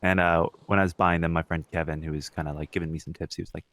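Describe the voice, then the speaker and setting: A young man with a notably low voice talks casually as a podcast co-host. His speech is slangy and mildly profane, and the sound is dry, with very little room on it. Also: little pitch movement, fast talker, fairly bright tone, no room sound. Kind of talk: casual chat.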